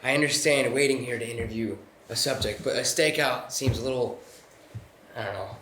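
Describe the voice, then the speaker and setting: A man reads as a reasonable junior detective in a horror script, hesitant and tactful. The voice is mid-pitched, in an echoey room with clear noise behind it. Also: brisk, close to an everyday voice. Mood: doubtful. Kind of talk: live screenplay table read.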